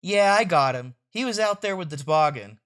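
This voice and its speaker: A woman's voice: lowish voice, lively intonation, brisk, close to an everyday voice. A woman voicing a weary, reluctant father with a dry, grumbling manner in a comic strip read aloud. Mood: tired, dry.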